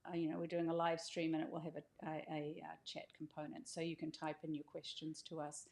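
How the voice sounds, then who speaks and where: A woman's voice: sits low, monotone, fast, gravelly, darkish tone. An older woman speaking as an author and host, casually explaining things in an encouraging, inviting way. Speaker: author hosting a welcome video.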